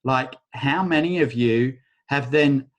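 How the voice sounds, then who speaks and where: A man's voice: medium pitch, takes it slowly, muffled recording. Casual man leading an online workshop. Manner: casual, rambling, chants a repeated clearing phrase softly. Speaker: workshop facilitator. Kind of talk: live online workshop talk. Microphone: video call.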